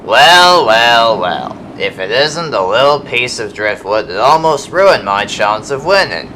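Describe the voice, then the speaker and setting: A man's voice: mid-range voice, sing-song, a little slow, pushed and bright, slight background noise, low-quality recording. An actor, a man, playing a sneering, menacing rival boat racer who taunts in an amateur audio drama. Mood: sneering menace.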